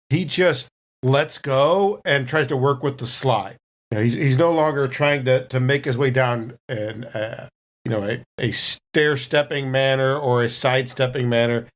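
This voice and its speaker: Male RPG player, medium-pitched, casually describing his character's moves and dice at the table. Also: measured pace. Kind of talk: casual improvised narration of his character's actions.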